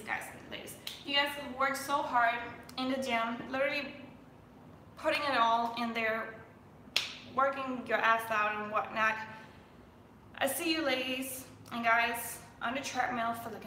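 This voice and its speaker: A woman's voice: fairly high pitch, pushed and bright, reverberant, slight background noise. A young woman from Denmark, a fitness coach chatting on a live stream, full of fillers and restarts.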